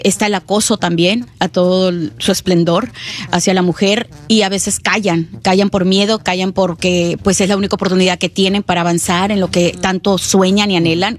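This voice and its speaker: A woman's voice: mid-range voice, steady tone, moderate pace. A woman singer from the United States chatting as a guest in a radio interview, talkative and laughing easily. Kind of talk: interview chat.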